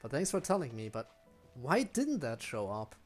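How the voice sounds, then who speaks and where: A man's voice: mid-range voice, very animated, normal speed, soft background music. A man giving casual, rambling live game commentary, musing aloud to his viewers. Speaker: game streamer.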